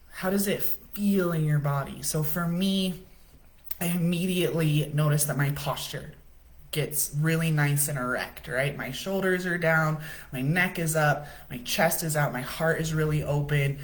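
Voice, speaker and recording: A woman host giving a casual spiritual coaching talk on a livestream, chatty and often checking in with "right?", in her own low voice.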